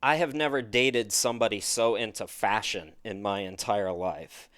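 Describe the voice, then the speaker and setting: Smooth-voiced chatty male podcast host. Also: lowish voice, normal speed, fairly bright tone, no room sound, studio quality. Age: middle-aged. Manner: chatty, upbeat, rambling. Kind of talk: casual interview chat.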